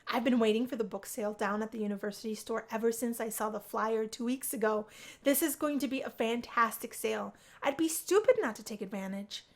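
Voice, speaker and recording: A woman reading a first-person visual novel aloud as expressive story narration. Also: on the high side.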